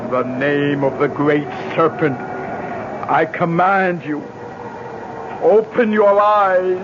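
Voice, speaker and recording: A woman's voice: deep voice, fairly even intonation, background noise. A woman playing a commanding Caribbean magician in a 1970s radio drama, chanting solemn orders to the undead. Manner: incantatory, slow, solemn commands. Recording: dull vintage radio recording, music behind.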